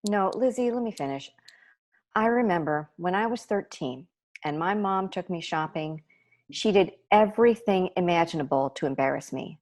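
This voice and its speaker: A woman's voice: lowish voice, animated, moderate pace, a little mellow. An actress playing a loving, apologetic middle-aged mother in a sitcom table read over a video call.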